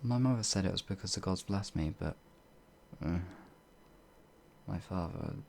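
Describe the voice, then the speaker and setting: A man voicing a shy, gentle werewolf in an ASMR audio roleplay, hesitant and halting, with a breathy, gravelly voice that often drops toward a whisper. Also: sits low, slight background noise. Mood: gentle, wistful. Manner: hesitant, timid, halting, with sighs and trailing phrases. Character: shy, gentle, bumbling pacifist male werewolf, older than he looks.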